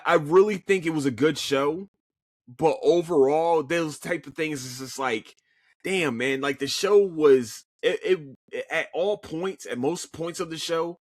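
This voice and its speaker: A man's voice: fairly high pitch, dry recording, clean studio sound. A man with a Philadelphia accent hosting a podcast alone, chatting casually about shows he watched, cursing freely and restarting his sentences often. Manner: animated, swears freely, frequent restarts and stammered repeats. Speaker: podcast host. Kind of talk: casual solo review chat.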